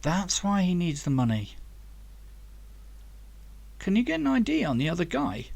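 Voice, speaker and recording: An eager young man in a sci-fi audiobook, acted out by a male narrator, pitched up from plain narration. He is curious and keen, full of questions and exclamations. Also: huge pitch swings, moderate pace, creaky, darkish tone, full character voice. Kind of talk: audiobook character dialogue.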